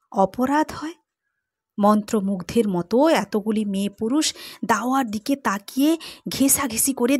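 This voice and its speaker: A woman narrating a classic literary short story in a measured storytelling manner. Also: on the high side, breathy edge, no echo, clean studio sound. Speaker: audiobook narrator.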